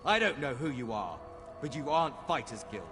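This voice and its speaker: Guy acting a hot-headed elf fighter in a game, scornful, really animated, loud music behind. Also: mid-range voice, brisk, close to an everyday voice. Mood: defiant. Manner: scornful, confrontational. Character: proud hot-headed male elf soldier.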